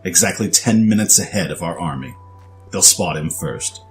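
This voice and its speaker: A man's voice: sits low, animated, normal speed, faint music behind. A man voicing a fawning, gleefully sinister villain's lackey in an audio drama, with obsequious, exaggerated delivery.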